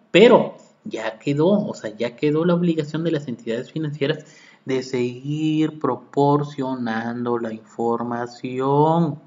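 A man's voice: a little high, a little mellow. Mexican man lecturing on tax law. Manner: reads legal articles aloud, then explains them with rhetorical questions to the audience. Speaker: accountant explaining tax law. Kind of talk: podcast lecture. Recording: echoey room, dull lo-fi sound.

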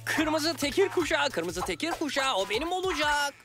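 A woman voicing an eager, boastful young cat ninja trainee in a children's cartoon, with an excitable, showy delivery. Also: high voice, moderate pace. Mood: eager, wistful. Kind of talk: animated children's cartoon dubbing. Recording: loud cartoon music underneath.

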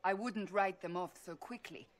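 Woman as stern female warrior, earnest, video game, formal, serious, medium pitch. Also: moderate pace, close to an everyday voice. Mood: troubled, serious.

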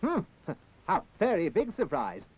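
A dithery young puppet handyman, pitched very high and swooping about in tone. He is eager to help but flustered and hesitant, as in a sixties children's show. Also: huge pitch swings, measured pace, breathy edge, full character voice. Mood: flustered, puzzled. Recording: dull 1960s television film soundtrack, music.

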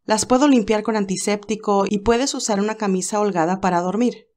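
A woman voicing a young nurse heroine, nervous and stammering, a little higher than her narration. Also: fairly even intonation, brisk, a little mellow, lightly acted.

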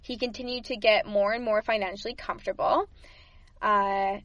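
A woman's voice: mid-range voice, fairly even intonation, moderate pace, a bit bright, dry recording, lo-fi sound. A young woman hosting a podcast, sharing good-news stories in a chatty, upbeat way with frequent laughs. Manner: chatty and upbeat, laughs often, repeats words. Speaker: podcast host. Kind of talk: casual good-news chat.

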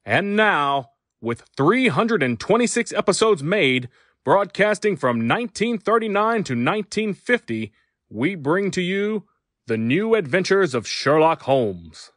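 In a dry, close recording, a man delivers scripted introduction narration as an announcer. His fairly high voice moves with animated pitch. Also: unhurried, dry recording. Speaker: podcast announcer.

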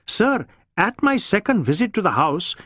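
Man acting a flustered, high-pitched Indian police inspector. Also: big pitch swings, sharp tone, dry recording, clean studio sound, full character voice. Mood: anxious, earnest. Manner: halting, stammering, repeatedly saying sir. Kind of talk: audiobook character voice.

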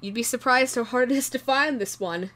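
A woman voicing an obsessive shapeshifting doppelganger posing as someone's ex, speaking haltingly in an intimate horror audio roleplay. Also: sits high. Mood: nervous, ingratiating. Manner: halting, stammering, soft-spoken in menace.

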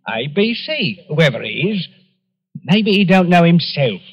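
An actor playing a chatty, plain-spoken working-class English local in a 1940s radio drama. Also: male voice, fairly high pitch, big pitch swings, measured pace, breathy edge, exaggerated voice.

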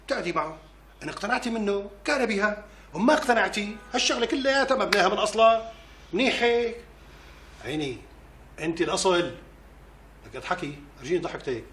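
A man's voice: high-pitched, animated, fast talker, pauses often. An actor with a Syrian accent playing a middle-aged husband and father, a firm but coaxing peacemaker in a TV drama. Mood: soothing, coaxing. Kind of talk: TV drama acting. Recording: echoey room, noisy ambience.

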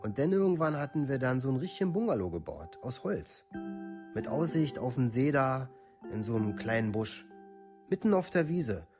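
An actor playing a rough young village man who gives a plain, unemotional account in a documentary radio play. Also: mid-range voice, lightly acted. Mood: casual, reminiscing. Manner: matter-of-fact, plain, unemotional recounting.

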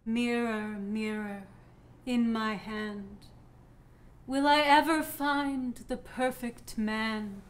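A woman's voice: a little high, fairly even intonation, drawn-out words. An actress playing a vapid film starlet, declaiming her lines in a comedy. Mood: dreamy, theatrical.

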